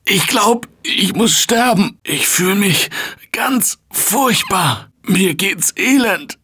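A panicky everyman zombie survivor in a video game, husky and intense, swings up and down a lot. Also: high-pitched, lively intonation, slow, sharp tone, exaggerated voice. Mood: hurt, weary. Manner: emphatic, urgent, colloquial. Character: male zombie-apocalypse survivor, ordinary office guy, earnest, panicky. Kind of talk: dramatic video game voice acting in short barks.

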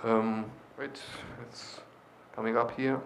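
A man leads a discussion session at a conference, speaking into a handheld microphone in a large lecture hall. He encourages the people in the room and pauses often with fillers as he explains. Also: medium pitch, flat delivery, vocal fry, a bit bright. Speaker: session leader.

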